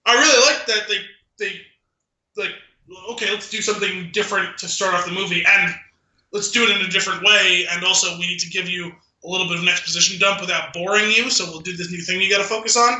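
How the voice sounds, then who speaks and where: A man's voice: high voice, fairly even intonation, fast, sharp tone. A man co-hosting a podcast, chatting casually about a film in a rambling, conversational way. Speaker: podcast co-host. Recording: echoey room.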